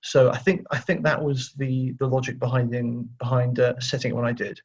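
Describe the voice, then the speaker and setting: Husky-voiced man, slightly muffled recording. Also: mid-range voice, fairly even intonation, brisk, darkish tone. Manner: chatty, thinking aloud with frequent self-corrections. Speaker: interview guest, audio drama writer. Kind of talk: casual conversational interview answers.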